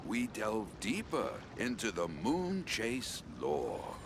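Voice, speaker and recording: A man's voice: on the low side, huge pitch swings, slow, vocal fry, music in the background. A man reading scripted narration. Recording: very noisy background.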